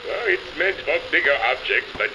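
A man's voice: on the high side, expressive, moderate pace. An actor playing an anxious airship crewman heard over a radio in a pulp adventure drama. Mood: concerned. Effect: radio filter. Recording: muffled radio link with noise.

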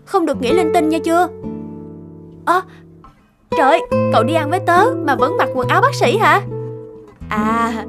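Young office lady in a cartoon, really high voice, expressive, music behind. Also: moderate pace, pauses often. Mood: chatty, teasing. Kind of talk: animated cartoon dialogue.

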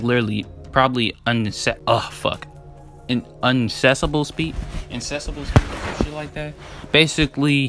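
A man's voice: medium pitch, fairly bright tone. Young man, mid-range voice, casual rambling commentary, heavy slang and swearing, emphatic delivery, faint music behind. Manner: slangy, profane, hyped, emphatic. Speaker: video creator debating anime battle matchups.

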